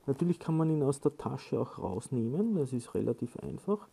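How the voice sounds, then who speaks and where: A man giving casual hands-on instructions in a halting way, with frequent filled pauses. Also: mid-range voice, animated, creaky, warm tone, husky. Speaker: product tutorial presenter.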